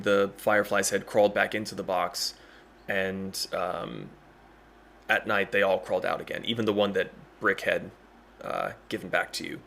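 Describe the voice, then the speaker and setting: Man, game master, deepish voice, halting improvised narration, faint background noise. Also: vocal fry, fairly bright tone. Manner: halting, thinking as he goes.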